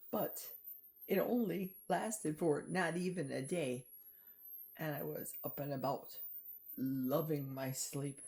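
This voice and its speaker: A middle-aged woman talks slowly to her viewers in a chatty, confiding vlog. Her voice sits low and mellow, with an unhurried pace. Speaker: vlogger. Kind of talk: personal diet vlog. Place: outdoors in a forest.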